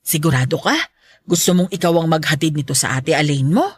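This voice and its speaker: A woman's voice: low-pitched, brisk, husky, no echo. A woman playing a caring but firm middle-aged mother in a radio drama, with a motherly, patient manner. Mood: kind.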